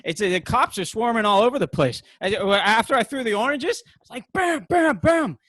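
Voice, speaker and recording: A man playing an impulsive, bumbling activist in a comedy on a video call, rambling and stammering excitably. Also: very high voice, a little fast, no echo, exaggerated voice. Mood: excited, rambling. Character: bumbling, impulsive, sheepishly defiant young male activist. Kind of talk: comedic stage acting over video call. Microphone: video call microphone.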